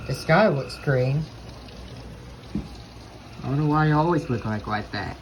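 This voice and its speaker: A man's voice: medium pitch, animated, unhurried, a little hiss or hum, poor audio. A man vlogging, talking casually to his viewers.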